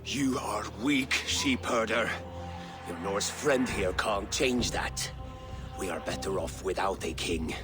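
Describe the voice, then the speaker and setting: An actor playing a rude, quick-tempered Viking warrior who taunts and mocks in a video game. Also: male voice, lowish voice. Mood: bitter contempt. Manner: sneering, taunting. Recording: game audio under loud music, rowdy crowd.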